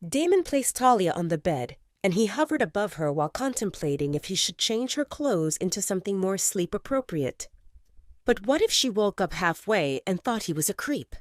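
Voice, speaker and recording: Expressive woman narrating an audio drama. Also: on the low side, no echo, studio quality. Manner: expressive, intimate storytelling.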